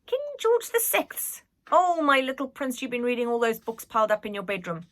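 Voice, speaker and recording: Woman acting a brisk, emphatic old storybook nanny. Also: fairly high pitch, very animated, fairly bright tone, pitched above the performer's own voice. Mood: fond, knowing. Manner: emphatic, brisk, fond but bossy. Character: elderly royal nanny, brisk, protective, scolding.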